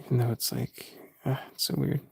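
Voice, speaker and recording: A man's voice: mid-range voice, steady tone, a little fast, creaky, darkish tone, breathy edge. A man live-streaming himself drawing. He mutters self-critical asides as he works, drops at times to a whisper, and answers viewers' chat. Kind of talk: casual running commentary while drawing.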